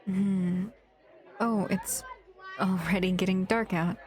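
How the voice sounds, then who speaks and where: A woman playing an affectionate, possessive monster lover in an intimate audio roleplay, speaking in a tender, breathy murmur. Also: mid-range voice, steady tone, a little mellow, a faint crowd, natural voice. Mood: fond, teasing. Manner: tender, unhurried, doting murmur.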